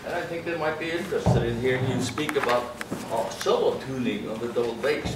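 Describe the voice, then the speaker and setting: Foreign-accented man hosting, distant and echoey. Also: medium pitch. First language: not English. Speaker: concert host, interviewer. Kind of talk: informal onstage interview before an audience. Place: concert hall stage. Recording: roomy, noisy hall recording from far off.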